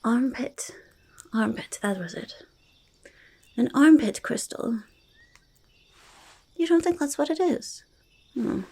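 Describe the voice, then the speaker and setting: A woman voicing a quirky, stubborn goblin who loves shiny treasures, in a halting, breathy, creaky ASMR whisper, speaking in third person. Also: a little high, expressive, mellow, full character voice. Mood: stubborn bickering. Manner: halting, childlike third-person speech, easily argumentative. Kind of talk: soft-spoken ASMR roleplay, mostly whispered.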